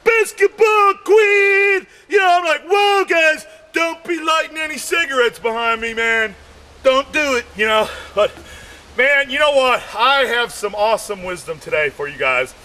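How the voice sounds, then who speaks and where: A man doing a rambling stand-up comedy act, shouting his punchlines and losing his voice. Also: very high voice, expressive, measured pace. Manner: rambling, shouts punchlines, keeps saying "man" and "you know".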